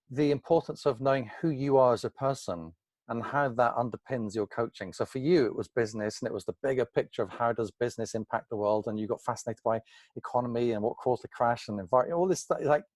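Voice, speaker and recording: A man's voice: medium pitch, a little mellow, dry recording. A man hosting an informal interview, curious and conversational, thinking aloud as he rephrases his questions. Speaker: interviewer, host.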